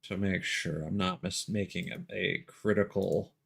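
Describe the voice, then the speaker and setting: Low-voiced man streaming a game. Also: fairly even intonation, measured pace, fairly bright tone. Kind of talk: casual live game commentary. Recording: clear background game noise. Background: video game sound.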